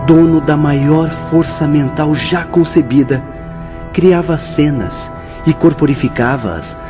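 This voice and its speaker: An actor playing a learned, solemn spirit teacher in a radio drama, speaking in a measured, declamatory way. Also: male voice, fairly high pitch. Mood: solemn, instructive. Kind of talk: radio drama acting, oratorical. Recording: slightly muffled, music underneath.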